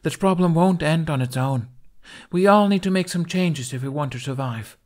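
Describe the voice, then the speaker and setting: A man's voice: on the high side, moderate pace, a little mellow, pitched above the performer's own voice, natural voice. A man voicing a bold, commanding young Viking warrior woman in an audiobook, speaking in a strong, assertive manner. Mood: firm, urgent.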